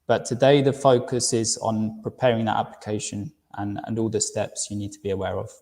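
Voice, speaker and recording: A man's voice: lowish voice, flat delivery, a little fast, a little mellow. London man presenting in a reverberant room. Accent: London English. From United Kingdom. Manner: friendly, repeats words as he restarts. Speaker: university recruitment director presenting a webinar. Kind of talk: webinar presentation.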